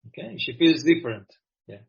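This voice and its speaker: A man with a husky voice relays answers for participants on an online call. He speaks in short, hesitant bursts, and the audio sounds muffled. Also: a little high, very animated, fairly quick. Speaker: interpreter.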